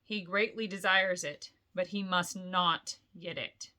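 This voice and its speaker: A woman reads the part of a grave old wizard from a fantasy storybook. The voice is bright and forward, commanding and slow, with weighty, portentous emphasis on the words. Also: lowish voice, fairly even intonation. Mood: grave, solemn.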